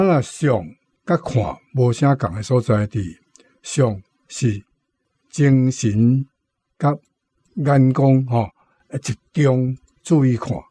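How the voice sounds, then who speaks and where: Slow, mellow Taiwanese man explaining words. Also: medium pitch, breathy edge, dry recording. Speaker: language commentator. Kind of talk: explaining vocabulary like a teacher.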